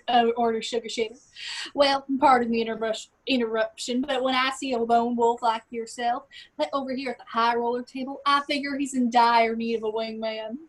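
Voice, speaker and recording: A boastful, boisterous Southern businessman, acted by a woman for a comic stage reading. The voice is high, and the talk is folksy, crude and proudly patriotic. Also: close to an everyday voice, poor audio. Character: brash Texan businessman, loud, crude, patriotic. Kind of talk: comedic live play reading.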